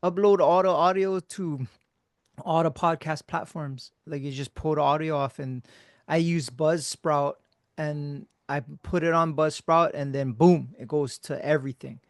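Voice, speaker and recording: A man with a slightly high voice talks easily with an old friend on his podcast. He is laid-back and casual, swears now and then, and often lets his sentences fade into quiet mumbles. The sound is close and dry, with no room echo. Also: fairly even intonation, measured pace. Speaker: podcast host.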